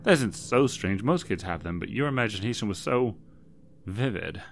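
A man playing a gentle, guilty mother. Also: lowish voice, expressive, normal speed, gravelly, a little hiss or hum. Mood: soothing. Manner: gentle, pleading, apologetic. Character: soft-spoken guilty middle-aged mother.

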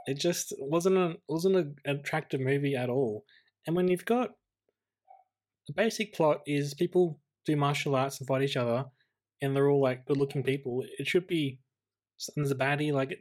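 A man with a mid-range voice talks as a podcast co-host reviewing films. He is conversational and a bit halting, often rewording a point as he thinks it through. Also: medium pitch. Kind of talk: casual film review chat.

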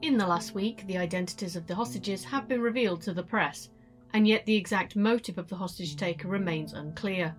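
A woman's voice: mid-range voice, brisk, soft background music, close to an everyday voice. A woman playing a sober news reporter reading a formal, factual scripted report in an audio drama.

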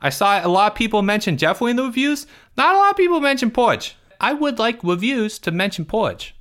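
A man putting on a high whiny touchy young boy. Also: sing-song, fairly bright tone. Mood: indignant whining. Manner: whiny, indignant, quick to take offence.

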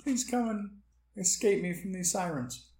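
A man's voice: high-pitched, normal speed, a little mellow, echoey room. A young man co-hosting a podcast, teasing and swearing in casual banter. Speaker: podcast co-host. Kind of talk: casual chat.

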